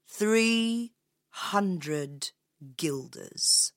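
A woman voicing a calm, merry male piper from a fairy tale, measured and quietly confident. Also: medium pitch, no echo, studio quality. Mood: cool. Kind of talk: storybook character voice.

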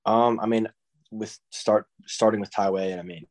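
A teenage boy with a Southern accent answers podcast questions in a low, level voice. He stays laid-back, leans on "I mean" and rarely lifts his tone. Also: steady tone, moderate pace, darkish tone. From Texas. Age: teens. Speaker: guest, high school baseball recruit. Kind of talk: casual interview answers.

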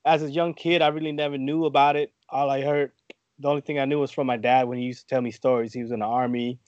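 A middle-aged American man with a cold, chatting informally and slangily as an interview guest, rambling a little and clearing his throat. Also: medium pitch, flat delivery, fast talker, darkish tone, no room sound, highs cut off. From United States (Tacoma, Washington). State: under the weather with a cough, clearing throat. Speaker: podcast guest, community activist. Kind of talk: casual conversational interview.

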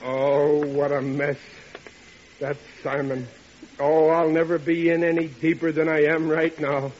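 Man playing flustered, higher-voiced radio husband. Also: little pitch movement, measured pace, darkish tone, slight background noise, natural voice. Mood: worried. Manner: exclamatory, hesitant, aggrieved. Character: flustered, put-upon, blustering family husband. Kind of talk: 1940s radio comedy acting. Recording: dull old radio recording.

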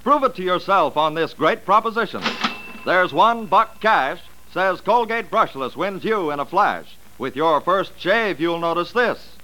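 Male ad announcer, American, vintage radio, animated and forceful, salesmanlike. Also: high-pitched, measured pace, a little hiss or hum, low-quality recording. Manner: punchy, salesmanlike. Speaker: commercial announcer. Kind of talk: 1940s radio ad pitch.

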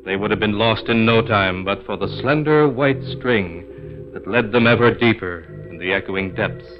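An actor narrating a terror tale in scripted 1940s radio style, grave, deliberate and dramatic. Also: male voice, fairly low pitch, natural voice. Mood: ominous. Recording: dull vintage 1940s radio broadcast. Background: clear music.

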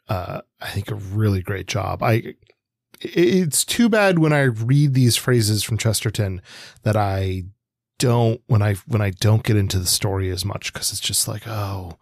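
Hesitant, lower-pitched male podcast co-host. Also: a little mellow, dry recording, clean studio sound. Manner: hesitant, thinking aloud in fragments. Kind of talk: casual literary discussion.

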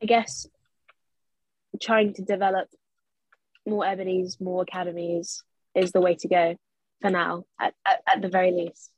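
A woman's voice: fairly low pitch, flat delivery, moderate pace, pauses often, breathy edge, no room sound, rough recording. A young woman who is a jockey, speaking earnestly and emphatically as a podcast guest. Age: young adult. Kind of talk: casual discussion.

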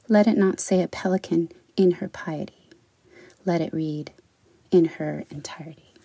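A woman poet introducing and reading her work in an articulate, deliberate way. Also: fairly low pitch, dark tone, breathy edge, no echo. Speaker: poet, writer. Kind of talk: spoken introduction and poetry reading.

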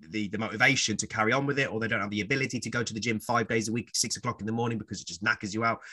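Fast-talking man in his forties. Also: lowish voice, sharp tone, breathy edge. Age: 40s. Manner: rambling, repeats words, acts out quoted voices. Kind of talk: casual podcast chat.

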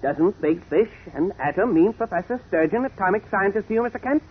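An actor playing an eccentric, fussy amateur detective in a 1940s radio serial, self-important and given to old-fashioned phrasing. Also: male voice, high voice, lively intonation, a little fast. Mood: fussy, pompous. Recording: muffled, faintly noisy 1940s radio recording.

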